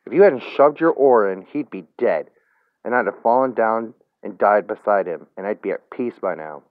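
A man's voice: fairly low pitch, expressive, normal speed, dry recording, phone-quality sound, close to an everyday voice. A man voicing an angry young woman in a story, curt and mocking, set on revenge. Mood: bitter, furious.

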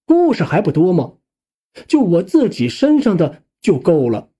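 Male storyteller for audiobook narration, very animated intonation, dark tone, measured pace. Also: high-pitched, breathy edge. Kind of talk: scripted first-person story narration.

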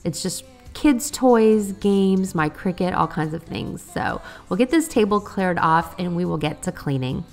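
A woman's voice: fairly low pitch, expressive, unhurried, few pauses, soft background music. Upbeat woman vlogger getting over a cold. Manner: friendly, upbeat, chatty. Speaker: cleaning vlogger. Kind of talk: cheerful voice-over narration.

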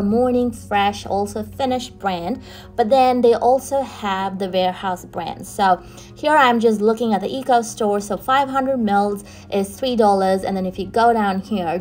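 A chatty young woman talks viewers through a store, pointing out products and prices in a casual, upbeat vlog voice. Her voice sits at a middle pitch, with soft music underneath. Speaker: vlogger. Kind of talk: casual shopping tour narration. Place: in a car on the motorway.

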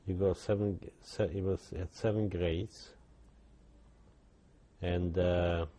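An older man, giving halting oral history testimony with many restarts. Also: low-pitched, steady tone, mellow. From Poland. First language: Yiddish. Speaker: interviewee.

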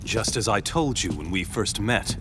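An actor playing a brooding young warrior bent on revenge, speaking formally in a dramatic video game style. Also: male voice, lowish voice, animated, normal speed, background noise, lightly acted. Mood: grim, resolute. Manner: formal, archaic phrasing. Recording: loud music behind the voice. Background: loud music.

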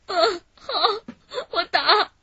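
A woman's voice: extremely high pitch, drawn-out words, bright, forceful tone, highs cut off, slight background noise, exaggerated voice. An actress playing a terrified, pleading female kidnap hostage in a radio crime drama, tearful and stammering. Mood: frightened, compliant.

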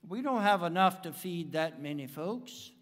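An American man in his seventies preaching a sermon from a pulpit in a deliberate, emphatic manner with dramatic pauses. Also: sits high, animated. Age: 70s. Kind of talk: sermon with anecdotes. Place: church sanctuary, speaking from the pulpit. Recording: reverberant church hall, long echo.

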